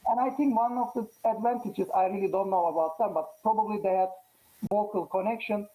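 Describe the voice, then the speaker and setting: A man from Turkey, a Turkish native speaker, chatting casually as a guest after a chess match, halting and full of fillers. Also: sits high, steady tone, mellow, rough recording. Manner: halting, many fillers and restarts. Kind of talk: casual post-match interview.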